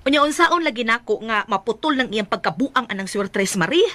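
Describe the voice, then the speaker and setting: A woman's voice: on the high side, lively intonation, a little fast, pushed and bright, dry recording. A woman playing a long-suffering, nagging wife with an exasperated manner in a comic drama skit. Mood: fretful. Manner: scolding, exasperated. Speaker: character in a comedy skit. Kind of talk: exaggerated comic Filipino drama acting.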